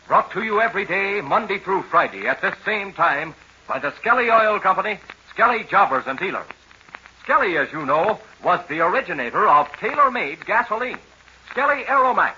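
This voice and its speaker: An American man works as announcer in 1940s radio style. His high, very bright voice swings animatedly, selling and narrating with dramatic emphasis for young listeners. The sound is that of a dull, slightly noisy old broadcast. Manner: emphatic, dramatic salesmanship addressed to children. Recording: dull old radio recording with faint noise.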